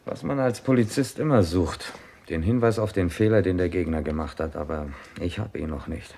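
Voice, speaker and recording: Deep-voiced, measured police inspector. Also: male voice, creaky, dark tone. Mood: musing, assured. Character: calm, courteous, methodical male police chief inspector. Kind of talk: German radio crime play acting.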